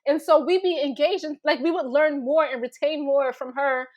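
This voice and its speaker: A woman's voice: high voice, highs cut off. A woman explaining ideas informally on a panel, chatty and full of restarts and "like". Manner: animated, halting restarts, many "like"s. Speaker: panelist, storyteller.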